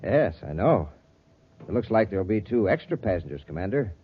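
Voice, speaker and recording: Tinny old-radio space villain. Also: male voice, fairly low pitch, very animated, fairly quick, slightly rough. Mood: cold menace. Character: cold, calm male saboteur villain in space serial. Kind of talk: 1950s radio serial acting.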